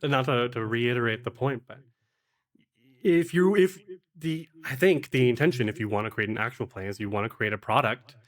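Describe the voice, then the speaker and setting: A man with a medium-pitched voice speaks as a guest on an interview podcast. His delivery is casual and conversational, that of someone explaining his craft to a peer. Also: normal speed. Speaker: podcast guest, actual play game master and producer. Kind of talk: casual interview chat giving advice.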